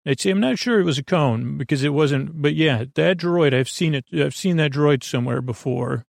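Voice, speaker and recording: Gravelly, rambling man hosting a podcast. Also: a little high, no echo, clean studio sound. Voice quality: gravelly, creaky. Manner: meandering, halting, trailing off mid-thought. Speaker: host of a sleep podcast. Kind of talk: rambling, digressive solo monologue.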